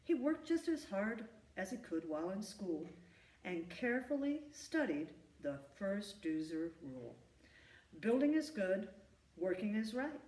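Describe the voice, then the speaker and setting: A woman reading a rhyming children's story aloud in an expressive, emphatic storytelling manner. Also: mid-range voice, unhurried. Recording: echoey room, distant from the mic.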